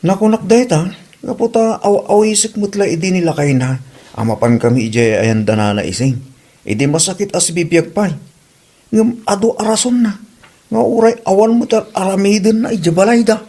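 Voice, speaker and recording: A man's voice: high voice, lively intonation, normal speed, warm tone. A man playing a plain-spoken, grumbling village husband in an amateur comedy drama, with a Filipino Ilocano accent.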